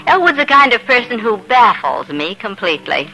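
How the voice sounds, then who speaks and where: Radio soap daughter, really high bright voice, frank and puzzled, vintage recording. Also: female voice, sing-song, moderate pace, slight background noise, full character voice, rough recording. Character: frank, puzzled grown daughter confiding in her mother. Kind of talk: 1950s radio drama acting.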